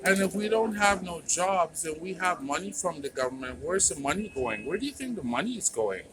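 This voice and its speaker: A man's voice: on the high side, animated, fairly quick. A man doing informal street interviews and commentary in an earnest, repetitive, plain-spoken way. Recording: noisy outdoor street recording with traffic.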